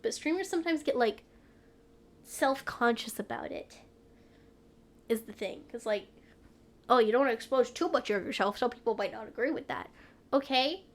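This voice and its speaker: A woman's voice: on the high side, expressive, normal speed, long pauses. A young woman with a stuffy nose, chatting casually and rambling on a live stream from home. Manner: rambling, trailing off, frequent laughs.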